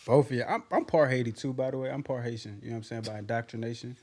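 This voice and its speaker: A young man co-hosting a casual podcast with friends, joking and animated in his talk. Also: mid-range voice. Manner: animated, joking, quick to laugh. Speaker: podcast co-host. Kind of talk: casual chat.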